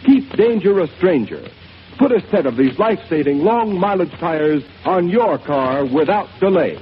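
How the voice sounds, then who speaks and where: A man's voice: fairly high pitch, animated, moderate pace. A male radio announcer reading an emphatic 1930s commercial. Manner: emphatic, salesy. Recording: dull old radio broadcast with hiss.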